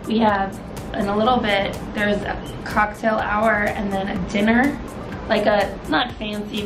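A young woman who is losing her voice chats cheerfully into a camera held at arm's length. Her sound is echoey, with music running behind. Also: mid-range voice. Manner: cheerful, laughing often. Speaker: vlogger. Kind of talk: casual vlog chat. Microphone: handheld camera at arm's length.

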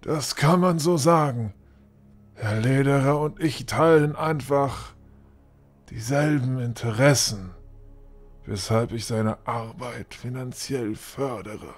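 A man's voice: medium pitch, takes it slowly, faint music behind. A man acting a pompous, condescending older lord with formal, theatrical courtesy in a fantasy audio drama. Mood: smug, courteous. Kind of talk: fan-made German fantasy audio drama.

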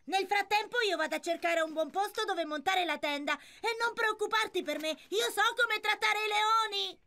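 A woman's voice: extremely high pitch, normal speed, sharp tone. A woman voicing a cheeky, scheming young boy in a children's cartoon dub. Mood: sly, teasing.

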